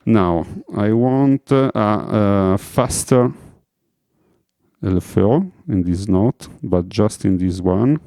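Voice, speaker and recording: Slow, gravelly Italian-accented man. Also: on the low side, animated, dark tone. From Italy. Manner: halting, many fillers, searches for English words. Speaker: music tutorial host. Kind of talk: hesitant tutorial explanation. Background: electronic music playing.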